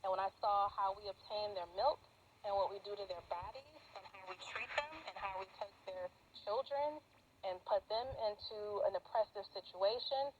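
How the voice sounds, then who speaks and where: Muffled woman on noisy shortwave radio. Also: mid-range voice. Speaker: interviewed vegan activist. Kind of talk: personal testimony. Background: radio static noise.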